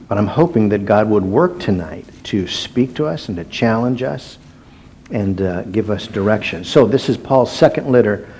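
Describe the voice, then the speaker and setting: A man teaching an evening Bible study in a relaxed, self-deprecating way, with fillers and restarts, slowing to stress each word when he reads scripture. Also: fairly low pitch.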